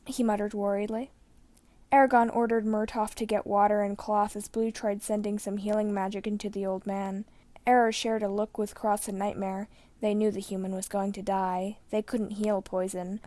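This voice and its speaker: A woman's voice: mid-range voice, dark tone, no echo. A woman reading fan fiction aloud as the narrator, telling the story dramatically. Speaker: podfic narrator.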